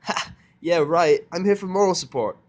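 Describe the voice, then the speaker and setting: Male reader as a cocky girl pony, raised pitch, brash, eager, storybook cartoon character. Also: normal speed, lightly acted. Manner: boastful, eager. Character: brash, cocky young female flying pegasus pony.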